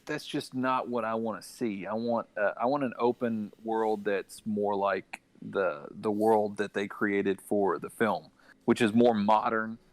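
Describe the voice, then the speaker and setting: A man's voice: lowish voice, fairly even intonation, unhurried, no echo. A man co-hosting a casual gaming discussion, sharing opinions as he thinks them through aloud. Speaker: gaming podcast co-host.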